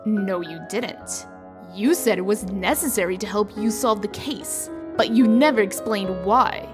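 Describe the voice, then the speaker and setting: A woman voicing a brisk, shrewd female official in a comic dub, firm, dry and sardonic in manner. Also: mid-range voice, over music, lightly acted. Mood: wry, probing.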